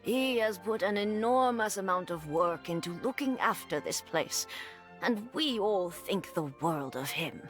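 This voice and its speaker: A woman's voice: medium pitch, expressive, a little slow, soft background music, natural voice. A woman voicing an earnest, worried villager in a video game, speaking with concern.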